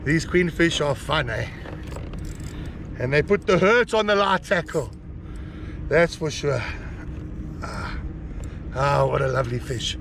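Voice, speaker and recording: A man's voice: a little high, takes it slowly, vocal fry, long pauses. A man in his thirties fishing from a kayak on the open sea, giving casual commentary into an action camera and whooping as the fish run. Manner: excited whoops and cheering while fighting fish. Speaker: kayak angler. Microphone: action camera on the boat. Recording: outdoor camera with wind and water noise.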